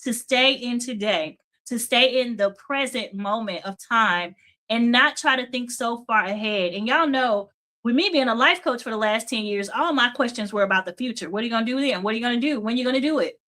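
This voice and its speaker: A woman's voice: fairly high pitch, fairly quick, pushed and bright. A middle-aged woman hosting a live faith and business stream, chatty and encouraging, says y'all, greets viewers by name, and pushes through a strained voice she is close to losing.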